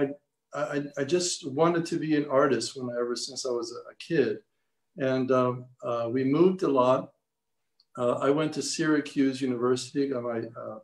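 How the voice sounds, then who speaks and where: An older man from Greece talks on a video panel, stopping and restarting often and filling gaps with ums. His pitch sits in the middle, and his call audio carries a roomy echo. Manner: halting, many fillers and restarts. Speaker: artist and priest on a panel. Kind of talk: casual panel talk.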